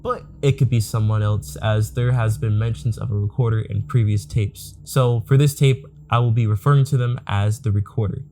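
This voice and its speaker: Mellow man narrating, some background noise. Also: fairly low pitch, fairly even intonation, normal speed, hardly any pauses. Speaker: host, narrator of a video series. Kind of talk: scripted explainer narration.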